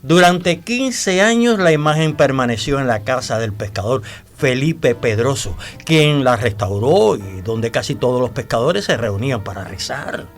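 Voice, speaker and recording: A devout man with secrets to tell in a radio drama. His pitch moves in lively swings as he recounts things deliberately and dramatically, pausing and hesitating. Faint music sits behind the voice. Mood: reverent, eager. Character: devout, talkative male storyteller revealing old secrets.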